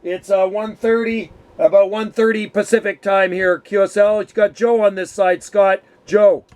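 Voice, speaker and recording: A man's voice: high-pitched, little pitch movement, moderate pace, a little mellow. A middle-aged man chatting casually as an amateur radio operator, using radio jargon and friendly forms of address. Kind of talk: casual radio contact chat. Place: home radio room.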